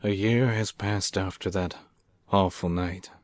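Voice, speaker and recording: A man's voice: low voice, steady tone, a little slow, no echo. A man playing a fervent, troubled young scientist in a melodramatic, theatrical radio drama style. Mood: anxious. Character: anguished, fervent young male scientist, recovering from madness. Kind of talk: melodramatic old-time radio acting.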